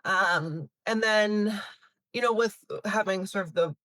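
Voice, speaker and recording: A woman's voice: mid-range voice, slow, fairly bright tone, no echo. A woman in her thirties who grew up in Canada, casually answering interview questions with many fillers and restarts. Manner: chatty, many fillers and restarts, laughs lightly. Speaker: talent agency CEO.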